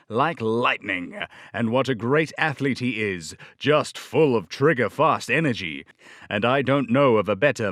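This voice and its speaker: A man's voice: medium pitch, no echo, studio quality, lightly acted. Man as an emphatic 1930s radio announcer. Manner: emphatic salesman delivery. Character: earnest, enthusiastic male 1930s radio announcer and pitchman.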